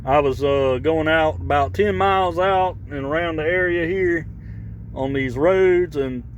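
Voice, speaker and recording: A man with a somewhat high-pitched voice chats casually through a hands-on gear demo. He rambles along in a folksy way. He is recorded on a camera microphone around a vehicle outdoors, with clear background noise. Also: a little slow, highs cut off. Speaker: vlogger demonstrating radio gear.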